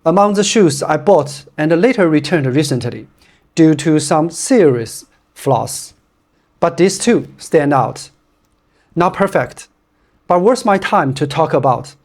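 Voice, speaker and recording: Brief: male non-native English speaker, Chinese accent, scripted gear reviewer, steady and direct. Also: on the high side, slow. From China. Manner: plain, straightforward, steady delivery. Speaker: running shoe reviewer.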